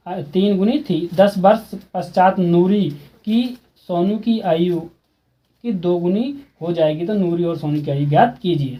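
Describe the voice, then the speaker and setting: A man's voice: sits high, warm tone, low-quality recording. A man teaching a school maths exercise, speaking casually and repeating steps while asking students questions. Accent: Hindi. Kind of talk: explaining a textbook math exercise.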